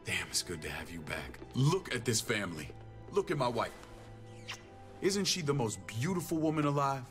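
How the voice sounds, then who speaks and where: An actor playing a gruff, commanding military man and protective older brother, blunt and profane, in a cinematic video game. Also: mid-range voice, very animated, normal speed, fairly bright tone, faint music behind. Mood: gruff affection. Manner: blunt, profane, clipped orders. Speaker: security chief of a survivor settlement.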